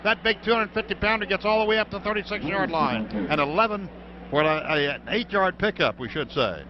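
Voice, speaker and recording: An American man calling football play-by-play on the radio in clipped running descriptions that get excited on big plays. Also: high-pitched, fairly quick. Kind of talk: live American football commentary. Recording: dull, noisy radio broadcast from stadium.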